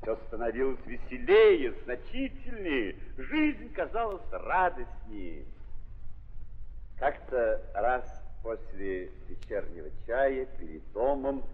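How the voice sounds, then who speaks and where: A man narrating a literary drama in an expressive, deliberate 1930s theatrical style, with dramatic pauses. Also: mid-range voice. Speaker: narrator, stage actor. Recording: muffled 1930s recording, long reverberant hall.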